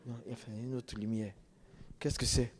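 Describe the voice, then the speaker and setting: A man's voice: mid-range voice, flat delivery, moderate pace. A middle-aged man giving an emphatic testimony as a preacher, repeating words for stress. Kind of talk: sermon testimony. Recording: long reverb of a church hall.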